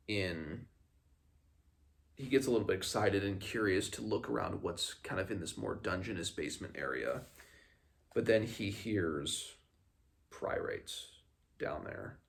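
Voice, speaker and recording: Guy chatting thru a book plot, deepish voice w lots of fry, stop-start, casual. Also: lively intonation, slow, pauses often. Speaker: host of a book read-along. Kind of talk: casual book recap with read-aloud passages.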